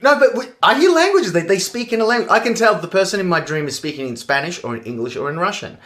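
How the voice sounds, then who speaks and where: Australian-born male co-host, jokey, very lively intonation. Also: sits high, fast. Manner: teasing, jokey, animated. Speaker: podcast co-host, comedian. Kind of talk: casual podcast chat.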